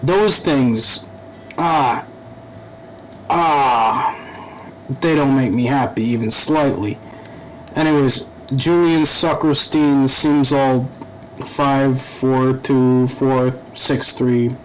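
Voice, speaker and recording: A young man talking through a video game in loose, off-the-cuff commentary. He rambles, stumbles over his words and drops the odd curse. His voice sits at an ordinary middle pitch over a faint hum in the background. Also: steady tone, poor audio. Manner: rambling, halting, profane asides. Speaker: gaming YouTuber. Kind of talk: casual let's-play commentary.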